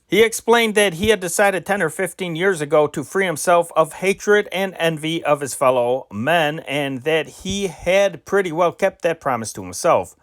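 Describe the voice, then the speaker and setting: A man reading old newspaper dispatches aloud in an unpolished, measured way, with occasional slips. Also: fairly high pitch. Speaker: news reader.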